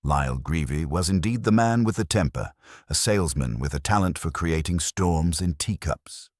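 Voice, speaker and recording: A man reading a scripted first-person detective story as narrator, with a wry, measured delivery. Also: extremely low pitch, expressive, darkish tone, no room sound, clean studio sound. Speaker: audiobook narrator.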